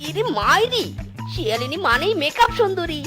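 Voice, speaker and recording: A woman voicing a whiny, excitable young male cartoon fox, full of exclamations. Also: very high voice, normal speed, over loud music. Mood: excited. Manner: exclamatory, repeats a catchphrase. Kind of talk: exaggerated cartoon voice acting.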